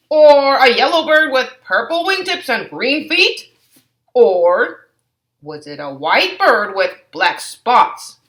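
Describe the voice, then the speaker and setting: A woman voicing a bumbling, puzzled father bear in a loud, blustery way. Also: on the high side, echoey room, heavily acted.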